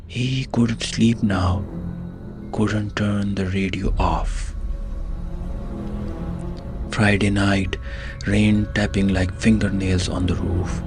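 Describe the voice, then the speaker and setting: A man narrating a scripted horror story in an ominous way, in short clipped phrases. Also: sits low. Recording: music behind the voice. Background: music.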